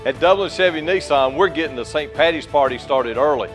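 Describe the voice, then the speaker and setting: A male announcer reads an upbeat scripted car dealership spot. His voice is on the higher side, with clear music underneath. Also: brisk. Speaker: commercial announcer. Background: background music.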